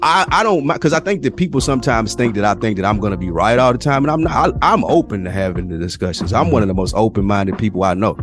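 A man co-hosting a podcast, talking candidly and with profanity in a relaxed chat, often acting out what other people say. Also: on the low side, fast talker, hardly any pauses, soft background music. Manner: candid, animated, profane, acts out quoted voices. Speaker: podcast co-host. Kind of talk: casual conversation.

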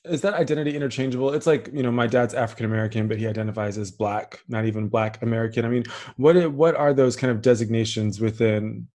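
A man's voice: mid-range voice, quick pace, few pauses, darkish tone. A man hosting an interview, asking questions conversationally and thinking aloud as he frames them. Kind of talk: casual conversation.

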